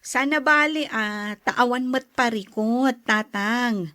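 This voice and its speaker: Breezy, self-indulgent Ilocano wife, played by a woman; high-pitched, leisurely delivery, flirtatious edge, audio drama. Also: slow pace, sharp tone. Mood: coy, breezy. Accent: Ilocano Filipino. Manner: coy, breezy.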